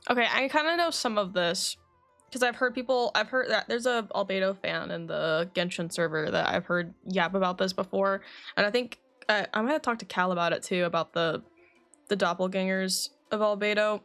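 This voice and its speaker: A woman's voice: medium pitch, moderate pace, a bit bright. A woman livestreaming a game, chatting casually and reading story text aloud, stumbling and correcting herself. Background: game music.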